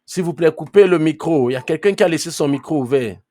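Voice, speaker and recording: A man's voice: fairly high pitch, fast, warm tone, dry recording, studio quality. A man teaching a live online class. He talks conversationally, keeps checking in with "ok?" and firmly tells late arrivals to mute their mics. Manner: firm with late students about muting. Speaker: trainer.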